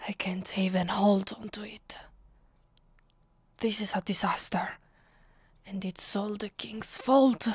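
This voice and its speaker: An imperious, ancient vampire lady played by a woman speaks slowly in a soft, half-whispered voice with an Italian accent. The delivery is dramatic and possessive, close and dry, in the manner of an ASMR roleplay. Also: medium pitch, dry recording. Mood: bitter, seething. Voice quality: soft, breathy, often dropping to a whisper. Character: aristocratic female vampire, centuries old, bitter and possessive. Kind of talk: ASMR roleplay, intimate scripted acting.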